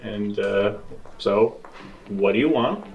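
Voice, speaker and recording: A man's voice: on the low side, slow, vocal fry, muffled recording, slight background noise. A man playing a grumpy, sleepy, cheeky man in a tabletop roleplay, whiny, flustered and sarcastic. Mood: flustered, snippy.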